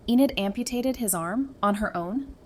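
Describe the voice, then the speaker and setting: A woman playing a caring, casual survivor in an audio drama, speaking in an expressive, conversational way. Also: a little high, normal speed, close to an everyday voice. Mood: alarmed. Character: caring, casual, warm-hearted female survivor. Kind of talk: fan audio drama acting. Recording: clearly noisy background ambience.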